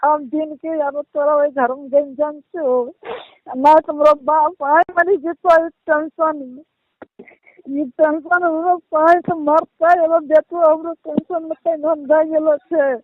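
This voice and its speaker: A woman's voice: sits high, level tone, slow pace, no echo. A middle-aged woman, scolding and reproachful in a heated phone conversation, often repeating herself. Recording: tinny narrowband phone call recording.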